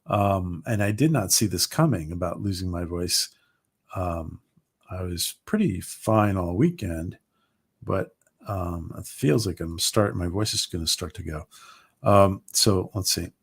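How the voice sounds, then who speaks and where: A man hosting a casual livestream, rambling with many ums and restarts as his voice starts to go hoarse. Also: low voice, animated, unhurried. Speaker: livestream host. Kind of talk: casual livestream chat reading viewer comments.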